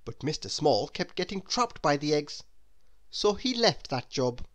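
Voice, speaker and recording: A storyteller reading a children's book aloud in an expressive, measured manner. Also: medium pitch. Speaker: storybook narrator. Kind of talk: children's read-aloud narration.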